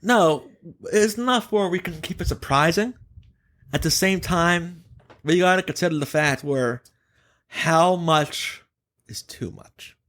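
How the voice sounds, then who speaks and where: A man hosting a casual gaming podcast, giving opinions emphatically in a halting, self-correcting way. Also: a little high, fairly bright tone. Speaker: podcast host.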